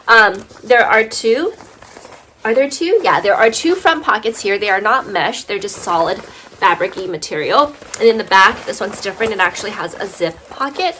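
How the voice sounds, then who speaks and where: Chatty woman with a lively voice. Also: medium pitch, normal speed, a little hiss or hum. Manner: chatty, lots of fillers and "right?". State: congested from allergies. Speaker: fashion vlogger. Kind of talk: casual product review.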